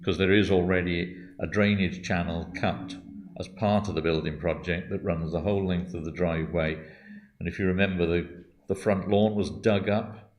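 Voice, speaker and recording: A man's voice: sits low, steady tone, normal speed, a little mellow, muffled recording. A man answering questions on church accounts at a congregational meeting, hesitant and full of qualifiers. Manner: hesitant, with many restarts and qualifiers. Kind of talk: meeting talk, thinking aloud.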